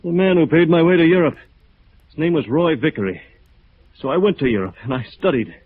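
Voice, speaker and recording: An actor with an American accent playing a battered, bitter architect in 1950s radio drama, halting and weary. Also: male voice, on the high side, animated, a little hiss or hum, natural voice. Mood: weary, ironic. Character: battered, bitter, dazed local architect, a beaten man. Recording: muffled narrow-band vintage radio recording.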